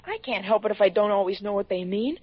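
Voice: medium pitch, quick pace, breathy edge, no echo, lightly acted. An actor playing an earnest, slightly hurt schoolboy in an old-time radio drama, with plain, slangy, boyish talk. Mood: defensive, sullen. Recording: muffled vintage radio recording.

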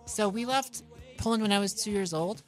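A woman's voice: medium pitch, moderate pace, soft background music. A woman from New York chatting casually as an interview guest, in a guileless, self-deprecating, simply worded way. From New York, United States. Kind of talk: casual chat.

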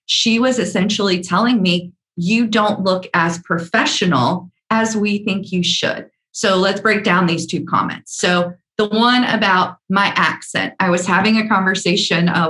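A woman from the American South speaking with a measured, earnest manner and frequent ums, her Southern accent mostly hidden. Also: mid-range voice, fairly bright tone. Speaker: speaker on workplace diversity. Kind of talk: talk with personal stories.

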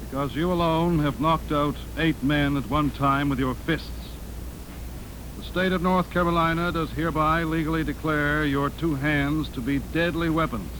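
A man's voice: a little high, steady tone, normal speed, fairly bright tone, a little hiss or hum, close to an everyday voice, low-quality recording. A man playing a stern town council official, speaking formally and declaiming in a 1940s radio drama style. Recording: old radio recording with music.

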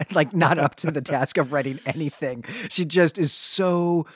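A man's voice: a little high, unhurried, slightly rough, no room sound, clean studio sound. A man speaking as a podcast co-host, giving an articulate, enthusiastic and conversational recap and discussion.

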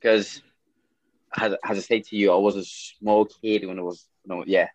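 A man's voice: fairly low pitch, flat delivery, moderate pace, no echo, low-quality recording. A young man from Brazil with a Brazilian Portuguese accent, speaking English as a second language in a casual interview, often repeating words and swearing casually. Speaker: MMA fighter.

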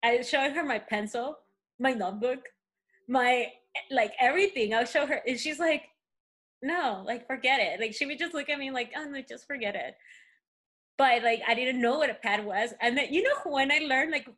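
A woman's voice: high voice, normal speed. Woman with a Spanish accent telling stories. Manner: animated storytelling, many fillers. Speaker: guest. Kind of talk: casual conversation.

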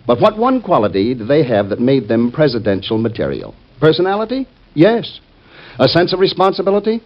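A man's voice: mid-range voice, huge pitch swings, brisk. A man with an American accent giving a scripted, folksy, rhetorical radio introduction as an old-time announcer. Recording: dull old radio transcription recording.

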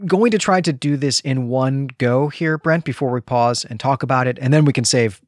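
A man's voice: mid-range voice, normal speed, dry recording, studio quality. A man hosting a literary podcast, talking in a chatty, discursive way with wry asides. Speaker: podcast host.

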